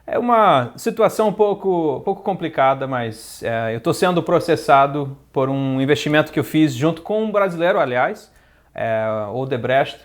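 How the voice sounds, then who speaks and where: Brief: a man as a boastful American impostor, cocky, a touch high-pitched, crude comedy sketch. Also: lively intonation, normal speed, natural voice. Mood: smug. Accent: American English. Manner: smug, brags, crude innuendo. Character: boastful fake Trump, later a scheming rival gringo.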